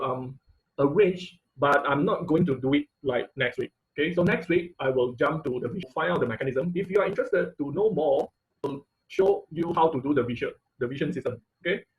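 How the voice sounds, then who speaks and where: A man giving an informal live online technical class as instructor, often repeating words and rephrasing mid-sentence. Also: a little high. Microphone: video call microphone. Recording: dull, lo-fi video call audio.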